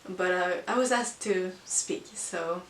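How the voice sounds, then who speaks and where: Woman book vlogger, english not first language, casual halting chat, echoey room, bit far from mic. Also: lowish voice. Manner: hesitant, halting delivery. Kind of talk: casual chat to camera about books. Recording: roomy, distant mic with hiss.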